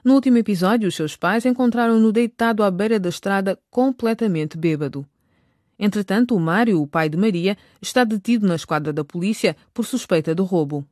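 A woman's voice: mid-range voice, a little fast, darkish tone, no room sound, clean studio sound. A woman narrating a scripted radio drama as its storyteller.

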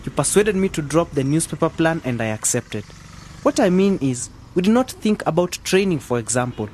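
An actor playing an outspoken, practical young club member in a radio drama, with a frank, questioning manner. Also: male voice, a little high, big pitch swings, normal speed, darkish tone, slight background noise. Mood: concerned.

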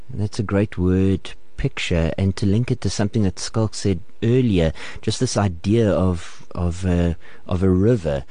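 A man's voice: deep voice, mellow, dry recording. A man hosting a panel discussion, often stammering and repeating words as he builds his questions. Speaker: host.

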